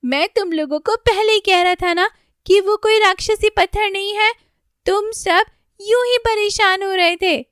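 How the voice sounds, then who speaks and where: A woman's voice: extremely high pitch, measured pace, fairly bright tone, no room sound, studio quality, pitched above the performer's own voice. A woman voicing a secretive, cocky male forest creature, assertive and insistent, in a children's cartoon. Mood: knowing, assured.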